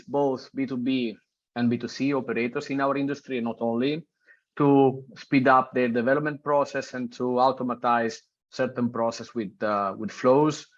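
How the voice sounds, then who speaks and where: An Italian man with an Italian accent, introducing himself carefully as a panelist and searching for words. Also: medium pitch. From Italy. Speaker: panelist, sales director. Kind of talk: online panel discussion. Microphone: video call. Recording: muffled video call audio.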